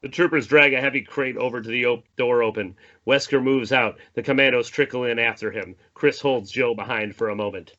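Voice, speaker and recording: A man's voice: medium pitch, steady tone, a little fast, hardly any pauses, sharp tone, dull audio. A man reading screenplay stage directions aloud as narrator at a table read.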